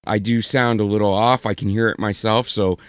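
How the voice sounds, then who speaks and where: A man with a hoarse voice co-hosting a casual podcast, rambling and correcting himself as he goes. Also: lowish voice, fairly even intonation, brisk, no room sound. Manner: rambling, self-correcting, apologetic. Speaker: podcast co-host.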